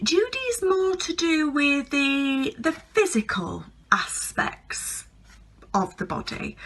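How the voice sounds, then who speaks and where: Comic monologue role played by a woman: pompous female spa boss, self-important, measured pace, projected and lilting upward. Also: high-pitched, sharp tone, pitched above the performer's own voice, heavily acted. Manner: pompous, slow and deliberate, malapropism-prone. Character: pompous, self-important female health spa manager, mangling long words.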